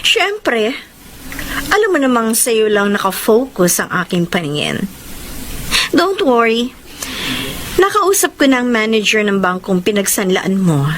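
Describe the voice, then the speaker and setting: A woman's voice: on the high side, big pitch swings, measured pace, long pauses, a bit bright, slight background noise, rough recording. An actress playing a possessive, scheming rich woman in a Filipino radio drama, with a teasing, insinuating delivery. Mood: seductive, possessive.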